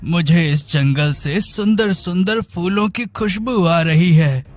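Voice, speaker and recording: A man voicing a cunning blind schemer who puts on a plaintive, melodramatic manner in an animated story. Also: high-pitched, takes it slowly, dry recording, soft background music. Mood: wistful. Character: scheming blind man feigning helpless, wronged innocence. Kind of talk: animated moral story dialogue.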